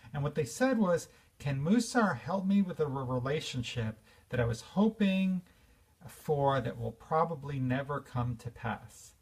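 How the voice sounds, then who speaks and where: Earnest fifty-something man, husky voice. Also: medium pitch, a little mellow, far from the mic. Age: 50s. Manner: earnest and reflective, with fillers and restarts. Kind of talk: informal spiritual talk to an audience.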